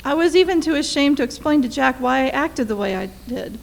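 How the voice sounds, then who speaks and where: High-voiced anxious wife played by a woman. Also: animated, fairly quick, vocal fry. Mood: urgent, anxious. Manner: urgent, insistent. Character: anxious, pleading young wife fearing murder. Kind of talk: radio play acting.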